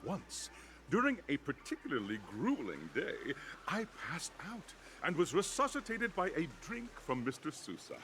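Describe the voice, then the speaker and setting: Video game tycoon host: grandiose showman, high-set voice, sharp and punchy delivery. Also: very animated, moderate pace, a little hiss or hum. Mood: nostalgic, grandiose. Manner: grand, theatrical announcing. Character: flamboyant wealthy tycoon showman, an eager male host. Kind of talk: video game character acting.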